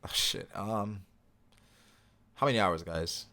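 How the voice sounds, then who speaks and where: A young man with a fairly low voice hosts a laid-back livestream, reading out chat comments and answering them as he goes. He rambles a little and keeps the tone easygoing. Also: slow pace. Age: young adult. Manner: relaxed, rambling, reads viewer comments aloud.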